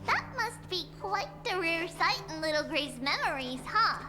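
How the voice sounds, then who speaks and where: Playful, very high-pitched little girl. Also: big pitch swings, moderate pace, over music. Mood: cheerful, tender. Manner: refers to self by name, playful. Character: mischievous childlike little girl triplets, playful heroes. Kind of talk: video game voice acting.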